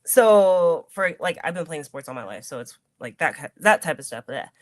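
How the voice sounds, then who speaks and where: A woman's voice: low voice, big pitch swings, moderate pace, no echo. A woman who wrestles, chatting as an interview guest in an upbeat, giggly way with plenty of "like". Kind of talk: casual interview chat.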